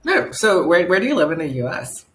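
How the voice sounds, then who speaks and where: A man's voice: sits high, big pitch swings, fairly quick, fairly bright tone. A man hosting a podcast, chatting casually with a guest in a playful, giggly, self-mocking way. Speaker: podcast host. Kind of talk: casual chat interview.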